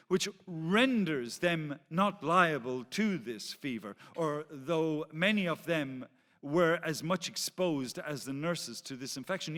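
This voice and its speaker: In a big reverberant hall, a man plays a censorious 18th-century pamphleteer with a slightly high voice. He reads his historical account formally and emphatically, with the odd stumble. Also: fairly bright tone. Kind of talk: dramatic reading of a historical account.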